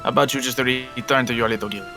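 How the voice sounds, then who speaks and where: A man's voice: mid-range voice, fairly even intonation, fast talker, pushed and bright, faint music behind. A man voicing a proud, protective talking cat mage with stiff, formal speech in a fantasy game roleplay. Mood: earnest, reassuring. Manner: stilted formal speech, avoids contractions. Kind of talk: improvised Minecraft fantasy roleplay.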